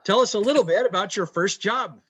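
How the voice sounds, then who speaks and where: A man's voice: high-pitched, fairly quick, a bit bright, slightly rough. A man hosting an interview, politely affirming answers and reading out numbered questions. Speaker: interview host.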